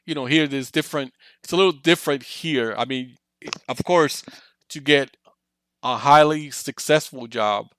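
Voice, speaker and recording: Man, podcast host, bright tone, dry recording, casual chat. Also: medium pitch, normal speed, no room sound.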